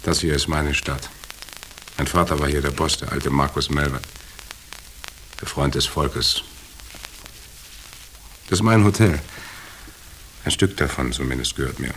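Very deep-voiced, sardonic hard-boiled man. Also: fairly bright tone, a little hiss or hum, rough recording. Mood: self-assured. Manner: sardonic, familiar, unhurried. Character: cool hard-boiled hotel owner, son of a city boss. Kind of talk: noir radio drama acting.

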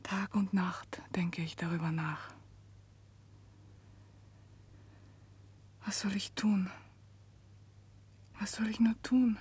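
An actress playing a resigned but firm young Russian woman prisoner in a German radio play, husky and hushed. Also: mid-range voice, unhurried. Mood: anguished. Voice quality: husky, breathy. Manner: hushed, half-whispered, restrained.

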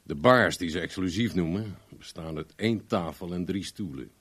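Deep-voiced, blunt male friend. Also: moderate pace, fairly bright tone, slightly rough. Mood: matter-of-fact, pushy. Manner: blunt, mocking, persuasive. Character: blunt, needling male gym owner and car dealer friend. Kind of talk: Dutch radio drama dialogue.

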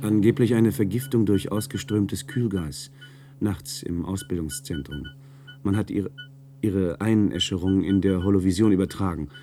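Man acting an earnest young recruit, mellow and low. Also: moderate pace, soft background music, natural voice. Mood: troubled, confessional. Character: earnest young male communicator, recently graduated, troubled by guilt. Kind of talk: German science-fiction radio play acting.

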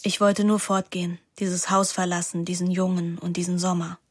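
An actress playing a melancholy teenage daughter, narrating a radio play in a measured, introspective way. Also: female voice, on the low side, level tone, natural voice. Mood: numb, wistful.

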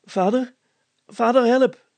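A man's voice: extremely high pitch, fairly even intonation, slow pace, darkish tone, no echo, pitched above the performer's own voice, full character voice. A man voicing an eager, plucky boy, an inventor's son, exclaiming in a storybook reading. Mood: panicked.

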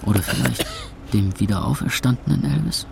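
An actor playing a broke, self-mocking young club owner, speaking in dialogue and wry narration. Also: male voice, on the low side, expressive, a little fast, creaky, noisy background. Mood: wry, gloomy. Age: young adult.

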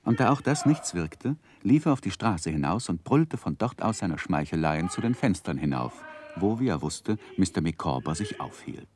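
A man's voice: low-pitched, very animated, moderate pace, a little mellow, a little hiss or hum. An actor playing a grown man who earnestly recalls his boyhood, narrating in a literary 1960s German radio play style. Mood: wry.

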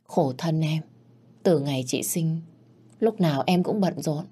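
A woman's voice: deep voice, unhurried, mellow, husky. Woman doing a weary grieving young mother. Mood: sorrowful.

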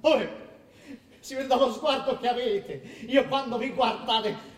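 Far from the mic in an echoing hall, a cowardly male lackey talks in a very high voice. He stammers, fawns and hesitates, a servile radio-play underling afraid of his masters. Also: steady tone, a little slow, slight background noise. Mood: fawning, nervous. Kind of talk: Italian radio drama acting.

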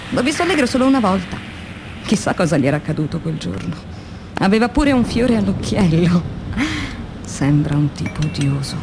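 Radio play: tormented but resolute young woman labourer, mid-pitched, measured dramatic diary delivery, noisy ambient recording. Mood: tense, observant. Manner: measured, deliberate phrasing with dramatic pauses. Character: weary young woman philosophy teacher turned factory worker, resolute, tormented.

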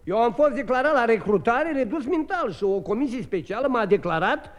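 An actor playing a cheerful, rambling Czech soldier who plays the naive, obedient fool in a 1950s radio drama. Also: male voice, very high voice, expressive. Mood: obediently foolish.

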